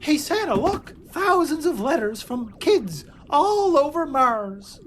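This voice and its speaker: A woman's voice: sits high, very animated, slow pace, soft background music, full character voice. A woman playing a goofy, eager, simple-minded Martian helper in a comic audio drama.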